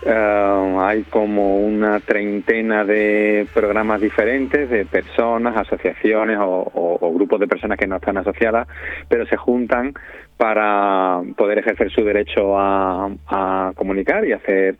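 Over a narrow, dry telephone line, a man answers as an interview guest. He explains at length, haltingly, searching for his words. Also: fairly low pitch, fairly even intonation, no room sound. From Spain. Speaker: interview guest, community radio member and audio fiction creator. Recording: thin, narrowband phone line.

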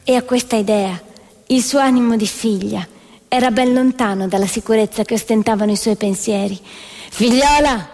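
A woman narrating a scripted stage piece in a formal, measured, declamatory manner. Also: medium pitch, fairly even intonation, darkish tone.

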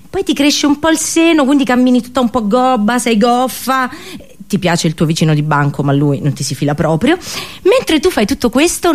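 A woman performing a comic theatrical monologue for radio, colloquial and sarcastic, full of exaggerated rhetorical questions. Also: fairly high pitch.

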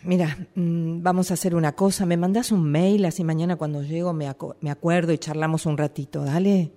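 A woman's voice: fairly low pitch, steady tone, brisk, warm tone, close to an everyday voice. A woman acting a curt, dismissive Argentine boss. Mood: evasive. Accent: Rioplatense Argentine Spanish. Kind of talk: amateur radio theatre acting.

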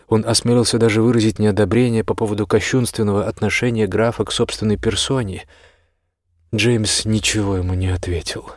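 A man's voice: on the low side, dry recording, studio quality. A man reading scripted fiction as the narrator of an audiobook.

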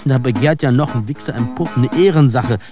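An actor playing an embittered, disillusioned fighter in a radio drama monologue, declaiming and cursing in coarse words. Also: male voice, medium pitch, brisk, over loud music, natural voice. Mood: bitter, cursing.

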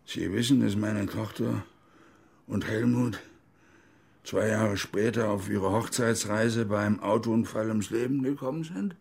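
A low, gravelly older man, a bitter former army officer and grieving father in a radio play. He broods and hesitates, then grows emphatic, his voice husky and worn. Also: unhurried, darkish tone, heavily acted. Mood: bitter, subdued. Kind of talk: radio drama dialogue.

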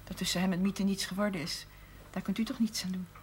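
An actress playing an earnest farmer's wife who now keeps a shop, confiding and at times near a whisper, in a 1970s TV drama. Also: female voice, medium pitch, steady tone, fast talker, slight background noise, close to an everyday voice. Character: earnest farmer's wife turned shopkeeper, middle-aged woman. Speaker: shopkeeper's wife.

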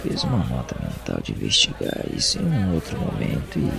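A man with a Brazilian accent playing an introspective, uneasy captive who narrates an audio drama in a brooding, deliberate way. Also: very deep voice, wildly swooping pitch, vocal fry, music in the background, heavily acted. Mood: puzzled, methodical. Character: captive man, introspective, uneasy, reasoning through his confinement.

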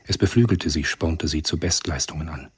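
A man reading scripted narration for a German radio drama in a gravelly, husky voice. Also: very deep voice, normal speed, a little hiss or hum.

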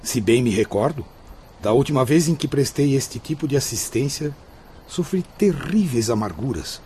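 A man's voice: mid-range voice, lively intonation, moderate pace, warm tone, slight background noise, lightly acted. An actor with a Brazilian accent playing a courteous, burdened male medium's spirit in a radio drama, speaking formally. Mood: troubled.

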